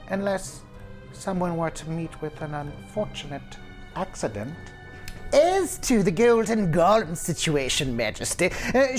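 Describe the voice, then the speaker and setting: A woman's voice: medium pitch, natural voice. A woman voicing an elderly male gnome courtier, sly and deferential, speaking in formal, fawning courtly phrases for a tabletop fantasy game.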